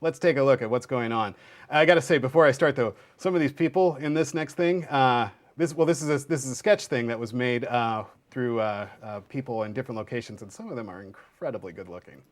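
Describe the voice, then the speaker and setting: Male host, mid pitch, halting and full of fillers, awkward dry delivery. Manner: hesitant, halting, many uh and um. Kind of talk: casual talk show hosting.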